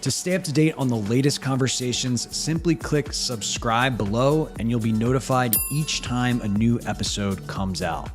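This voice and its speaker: A man's voice: mid-range voice, normal speed, few pauses, soft background music. Upbeat male podcast host. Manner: upbeat, polished announcer delivery. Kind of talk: scripted intro and interview.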